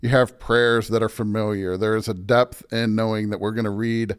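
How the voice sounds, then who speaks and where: Low-voiced American man, a thoughtful pastor. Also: level tone, normal speed, clean tone. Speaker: pastor, podcast guest. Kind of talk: casual reflective conversation.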